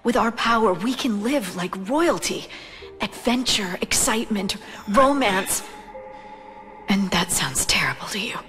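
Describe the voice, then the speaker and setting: Seductive defiant femme fatale, reverberant. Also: female voice, medium pitch, expressive, measured pace, fairly bright tone. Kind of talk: video game cutscene acting. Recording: long reverb, music behind.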